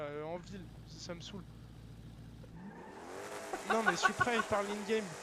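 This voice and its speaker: A man arguing bluntly and in exasperation in online game voice chat, as the server's founder. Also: high voice. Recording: clearly noisy game voice chat.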